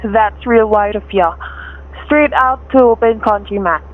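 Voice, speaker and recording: A woman's voice: mid-range voice, measured pace, a little hiss or hum, natural voice. Woman acting a sneering gunman, thin band-limited sound. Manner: slangy tough-guy talk, sarcastic. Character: menacing, suspicious male gunman on the run, sneering. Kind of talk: radio thriller acting. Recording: thin, phone-like radio-play recording.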